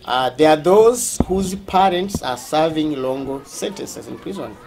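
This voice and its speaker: A man with a Ugandan accent speaking as a school representative, explaining things during a tour in a halting, deliberate way, often rephrasing. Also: on the high side, expressive, vocal fry, darkish tone, slight background noise.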